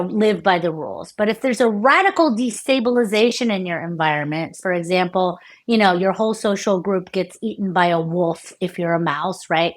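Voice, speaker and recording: Casting: American woman researcher, middle-aged, lively and chatty, frequent fillers and self-corrections. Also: mid-range voice. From United States. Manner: animated, many fillers and restarts, laughs often. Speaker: neuroscientist guest. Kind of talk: conversational interview explanation.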